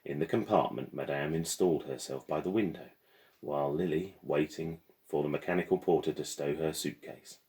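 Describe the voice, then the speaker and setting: A man's voice: rumbling low, normal speed, darkish tone. A man reading a children's novel aloud as the storyteller.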